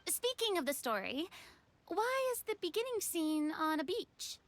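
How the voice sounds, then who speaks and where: A woman's voice: very high voice, sing-song, unhurried, slight background noise, full character voice. A woman playing a curious, easily flustered young girl in a video game, speaking in a hesitant, wondering way. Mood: inquisitive.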